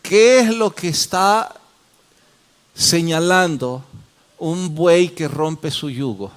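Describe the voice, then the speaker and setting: Male pastor teaching a class: fairly high voice, unhurried, quizzes listeners by name. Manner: questions the class and calls on students by name; slow, deliberate explanations. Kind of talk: interactive Bible study.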